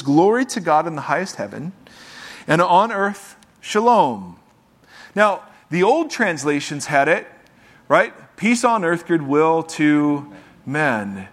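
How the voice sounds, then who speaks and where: A man preaching to a congregation, his voice sitting a little high for a man. He reads scripture aloud and breaks off into loose, joking asides to the people in front of him. The delivery is relaxed and conversational. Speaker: pastor. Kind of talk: sermon. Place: church with live congregation.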